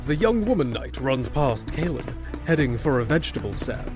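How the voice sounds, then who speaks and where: Mid-pitched man narrating audio drama. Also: sing-song, normal speed, over loud music.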